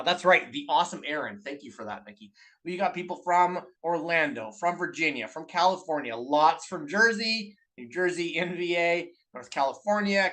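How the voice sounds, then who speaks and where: A man's voice: a little high, fairly bright tone, recorded from a distance. A man with a Canadian accent hosting a live online masterclass in an upbeat, chatty way, with lots of filler uhs. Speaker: webinar host and business co-owner. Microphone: video call mic.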